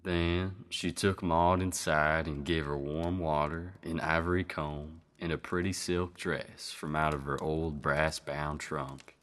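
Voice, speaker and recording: A man's voice: very deep voice, steady tone, slow, hardly any pauses, fairly bright tone, exaggerated voice. A man playing a homespun Old West storyteller with an American country accent, narrating a folktale in colloquial style for radio drama. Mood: easygoing. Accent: American Southern or Western. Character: folksy Old West yarn-spinning storyteller.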